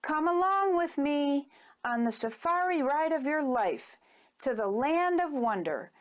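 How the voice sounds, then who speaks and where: A woman's voice: sits high, exaggerated voice. A woman voicing an alluring spirit of nature in a storybook, calling out in a beckoning singsong.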